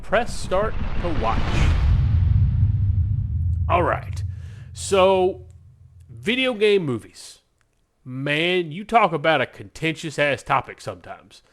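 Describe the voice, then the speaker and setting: A man's voice: a little high, sing-song, slow pace, pauses often, fairly bright tone. A man hosting a podcast in a loose, informal, conversational way, rambling as he goes. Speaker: podcast host. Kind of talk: casual podcast chat.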